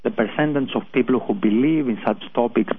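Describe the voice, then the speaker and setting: A man with a Greek accent, a guest on a radio show, answering hesitantly with many pauses and restarts. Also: medium pitch, a little hiss or hum. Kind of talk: phone-in interview. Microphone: telephone. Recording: tinny phone line.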